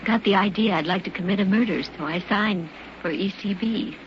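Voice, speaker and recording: Actress as doomed, gentle would-be starlet in her twenties, old-time radio drama. Medium female pitch, halting, wistful, heavy recording noise. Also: lo-fi sound, lightly acted. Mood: resigned, sad. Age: 20s.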